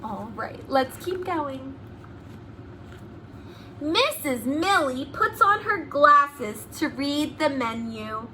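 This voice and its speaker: A woman reads a storytime lesson to young children in a high, lively voice. She goes very slowly and deliberately, giving key words a sing-song lift. There is faint noise behind her. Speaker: preschool teacher.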